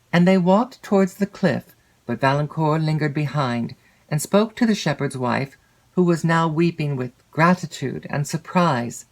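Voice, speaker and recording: A woman reading literary fiction as an audiobook narrator, in a measured, expressive storytelling manner. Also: sits low. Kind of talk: scripted literary narration of a classic novel.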